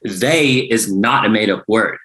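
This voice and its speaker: A man's voice: lowish voice, unhurried, fairly bright tone, poor audio. A man acting an argumentative, indignant progressive son at a family dinner, incredulous and combative, in a comedy table read.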